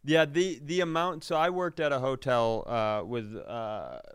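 A man's voice: medium pitch, unhurried, clear, resonant tone, no room sound. A man co-hosting a comedy podcast, telling stories in casual, profane banter with mock quotes.

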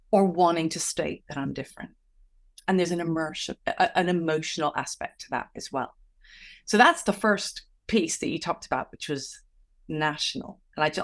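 Low-voiced reflective woman. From Venezuela. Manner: reflective, with many restarts and fillers. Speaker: guest author and coach. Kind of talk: thoughtful interview answers.

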